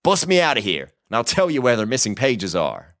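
A man's voice: mid-range voice, wildly swooping pitch, brisk, fairly bright tone, no room sound, clean studio sound. Man voicing a smug cowboy outlaw. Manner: smug, drawling, teasing. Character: smirking American cowboy outlaw in a cell, mocking, unhurried. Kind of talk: audiobook character acting.

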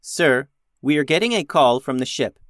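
A man's voice: medium pitch, expressive, normal speed, fairly bright tone, dry recording, studio quality, natural voice. A man voicing a dutiful robot first officer, formal and matter-of-fact, in a children's space story. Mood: formal, informative.

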